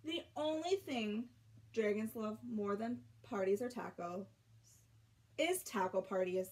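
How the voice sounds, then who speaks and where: A young woman reading a children's picture book aloud, expressively, with drawn-out emphasis and dramatic pauses. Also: mid-range voice, a little mellow. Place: small bedroom at home.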